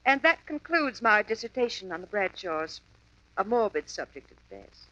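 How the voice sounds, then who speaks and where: A woman's voice: medium pitch, very animated, moderate pace, bright, forceful tone. An actress playing a dry, sardonic young woman in a 1950s radio drama. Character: sardonic young woman, a poor country cousin. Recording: dull lo-fi 1950s radio broadcast.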